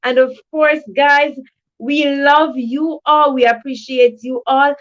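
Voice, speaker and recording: A woman with a fairly high voice hosts a livestream, welcoming viewers effusively and often repeating herself. The audio is slightly dull and lo-fi. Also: steady tone, slow. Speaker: livestream host of an educational channel. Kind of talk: livestream greeting of chat viewers.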